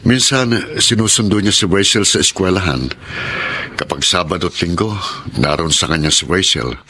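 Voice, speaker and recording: A man's voice: deep voice, lively intonation, moderate pace, pushed and bright, breathy edge, heavily acted. A man playing a patient, self-sacrificing middle-aged father with a Filipino accent in a radio drama. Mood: regretful, confiding.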